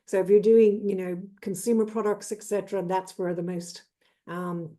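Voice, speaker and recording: A woman in her fifties with an Irish accent, giving a brisk, businesslike webinar presentation as a trade consultant over a video call. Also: medium pitch, fairly even intonation, mellow. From Ireland. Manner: brisk and businesslike, lists with "et cetera".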